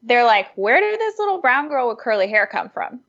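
A young adult woman being interviewed, giving thoughtful, animated answers with fillers and restarts. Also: on the high side, brisk. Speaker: guest, former diplomat and food blogger. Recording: muffled lo-fi audio.

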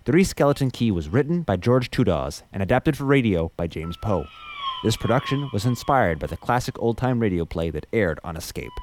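A man who hosts an audio drama podcast, presenting credits and announcements to listeners. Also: lowish voice. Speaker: host and audio drama producer.

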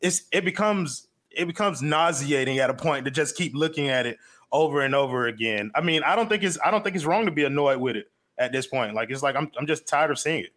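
A man's voice: a little high, animated, a little fast, fairly bright tone. A man sharing casual opinions as a panelist on a sports talk show.

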